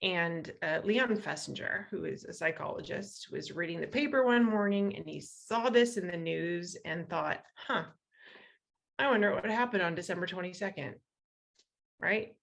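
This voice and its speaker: An American woman speaks as a guest lecturer, explaining ideas in a conversational way. Her voice sits a little on the low side and has a clear creak to it. She often checks in with her listeners through rhetorical questions. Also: fairly bright tone. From western Iowa, United States. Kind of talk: explanatory public talk.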